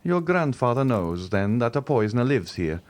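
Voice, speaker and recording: A man voicing a grave, protective middle-aged nobleman who gives solemn, measured warnings. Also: medium pitch, very animated, darkish tone, voice pushed up, close to an everyday voice.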